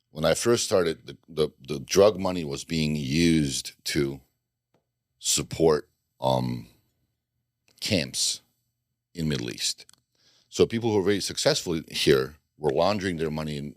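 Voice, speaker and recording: A man's voice: very deep voice, no room sound. A middle-aged man from Bosnia, a guest telling his story in a casual interview, rambling and restarting sentences with dry jokes. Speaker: podcast guest, former undercover FBI agent.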